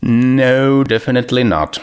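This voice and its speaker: A man's voice: mid-range voice, unhurried, smooth, lightly acted. A man voicing a proud, friendly female pony market seller, chirpy and matter-of-fact, in a storybook reading. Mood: uneasy.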